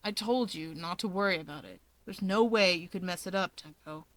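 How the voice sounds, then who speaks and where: A woman's voice: on the low side, a little fast, fairly bright tone, no echo, natural voice, low-quality recording. An exasperated older brother from a story, voiced by a woman. He nags in a subdued, terse way, anxious under the sarcasm. The recording is very dry. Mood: gruff, reassuring. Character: weary, nagging, anxious, sardonic older brother.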